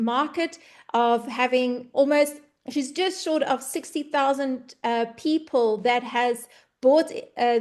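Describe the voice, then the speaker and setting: A woman's voice: high voice, a little mellow. A woman from South Africa with an Afrikaans accent, Afrikaans her first language, giving an earnest, heartfelt webinar presentation with frequent ums and restarts. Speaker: presenter of an online business meeting.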